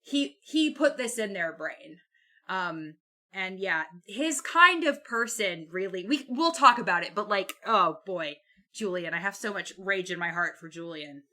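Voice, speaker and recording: A woman's voice: medium pitch, expressive, measured pace, pushed and bright. A woman co-hosting a podcast, talking casually and informally about a book, with swearing and loosely built, like-peppered phrasing. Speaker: podcast co-host.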